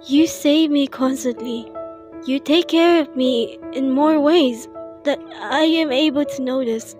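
A woman's voice: sits high, unhurried, music in the background. A woman voicing a stubborn, emotional young turtle, a fiery little brother, impassioned and defiant in a fan comic dub. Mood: tearful insistence.

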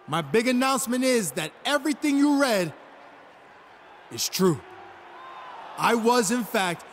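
An actor playing a cocky, taunting wrestling champion making a boastful, deliberate speech in a video game. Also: male voice, high voice. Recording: loud arena crowd noise.

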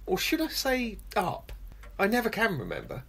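A woman playing a suave, gloating male professor and secret spy in a radio play, pompous mock courtesy and a husky, breathy voice. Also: medium pitch, huge pitch swings, moderate pace, a bit bright, full character voice. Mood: quietly menacing. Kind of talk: homemade radio play acting.

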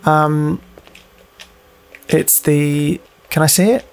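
A man with a slightly high voice and plenty of vocal fry plays a game and commentates casually. He mutters to himself, swears freely and reads on-screen text aloud in a jokey way. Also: fairly even intonation, extremely slow. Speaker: gaming video host playing a horror game.

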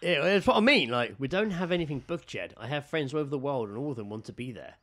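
British man, animated podcast host. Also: mid-range voice, fast talker. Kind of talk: casual comedic chat, reading out odd news stories.

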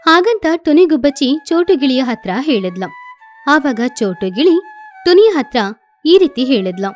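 A woman's voice: high-pitched, very animated, normal speed, soft background music. A woman narrating a scripted children's story as the storyteller.

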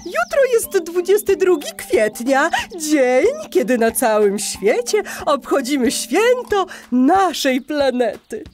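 A woman's voice: high voice, sing-song, takes it slowly, hardly any pauses, music in the background, full character voice. A woman voicing a cheerful, encouraging animal schoolteacher who talks to small children in a sing-song way. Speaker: teacher. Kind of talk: children's audio play acting.

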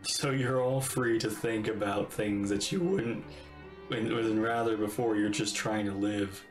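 A middle-aged man giving casual live reaction commentary on a game, musing aloud in halting phrases. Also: on the low side. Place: indoors at a desk, webcam stream. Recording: roomy echo, faint game music.

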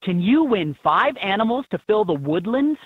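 A woman with a husky voice reading scripted prompts as an encouraging guide in a children's game, on dull toy audio. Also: mid-range voice, very animated, moderate pace, no room sound.

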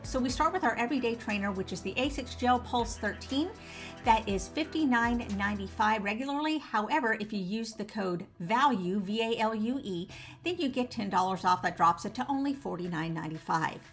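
A woman in her forties talks straight to camera from a living room lined with bookshelves, her voice still a little rough from a recent flu. She sounds upbeat and leans on key words. Faint music plays underneath. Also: a little high, lively intonation, fairly quick. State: recovering from flu, voice a bit rough. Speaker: running channel host. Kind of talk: scripted vlog talk to camera.

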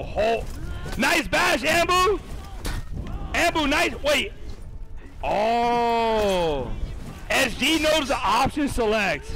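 A young man commentating a live game tournament and chatting with viewers, excitable and profane, losing his voice. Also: very high-pitched, creaky. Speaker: streamer casting a tournament.

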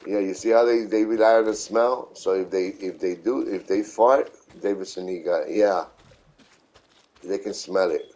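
A middle-aged man giving casual, unhurried live game commentary, repeating himself as he explains. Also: low-pitched, dark tone, low-quality recording. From New York City, United States. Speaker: video game streamer.

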